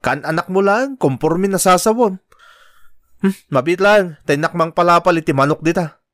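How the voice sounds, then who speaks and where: Hot-headed gambler husband, Ilocano accent, curt and boastful, higher-pitched, dry close sound. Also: male voice, moderate pace, fairly bright tone, dry recording. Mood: offhand. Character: brash, hot-tempered gambler husband and father. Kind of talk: Ilocano radio-style drama acting.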